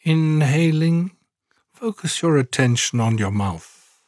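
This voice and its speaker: A man guiding a scripted relaxation meditation in a calm, soothing, measured way, leaving long pauses. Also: a little high, no room sound, studio quality. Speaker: meditation guide, podcast host. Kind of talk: guided yoga nidra relaxation meditation, scripted narration.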